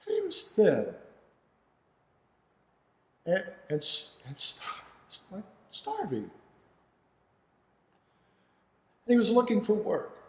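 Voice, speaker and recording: Man acting devoted grieving father, dramatic monologue, fairly high voice, emotional halting delivery, echoey hall. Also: a little mellow, full character voice. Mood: distressed. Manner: halting, emotional, earnest storytelling with dramatic pauses. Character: grieving, devoted father telling of his lost son. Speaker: actor performing an audition monologue. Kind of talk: dramatic first-person monologue. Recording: echoey hall with long reverb.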